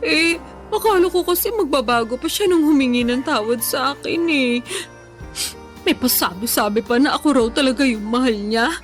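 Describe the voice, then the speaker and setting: A woman's voice: high voice, lively intonation, moderate pace, soft background music. An actress playing a heartbroken, self-conscious young woman in a radio drama, plaintive and whiny. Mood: disbelieving, hurt.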